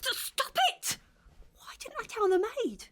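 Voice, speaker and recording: A flustered bride in her thirties, high voice that jumps around, audio comedy. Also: very animated, measured pace, fairly bright tone, heavily acted. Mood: alarmed. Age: 30s. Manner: flustered, hesitant. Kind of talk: comedic audio drama acting.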